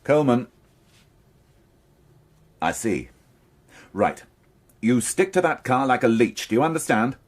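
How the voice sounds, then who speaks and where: An actor playing a weary, curt police inspector in a British radio crime drama. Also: male voice, medium pitch, very animated, measured pace, long pauses. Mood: commanding. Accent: British English.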